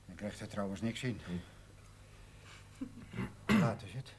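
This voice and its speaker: An actor playing a nervous, pedantic village schoolmaster in a television period drama, flustered and precise. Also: male voice, on the low side, animated, a little slow. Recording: faint noise, old TV broadcast audio.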